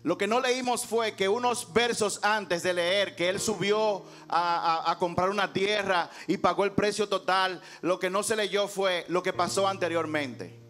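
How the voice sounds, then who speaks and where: High-voiced male preacher over music. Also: measured pace, fairly bright tone. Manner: emphatic, rhetorical, dramatizing dialogue from scripture. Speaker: pastor. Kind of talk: sermon preached to a congregation.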